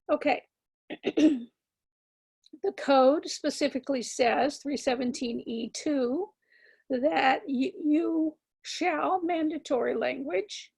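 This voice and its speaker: A woman with a scratchy voice giving a measured, emphatic legal lecture to attorneys, with frequent ums and words repeated for stress. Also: high voice, gravelly, darkish tone. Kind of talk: continuing-education lecture.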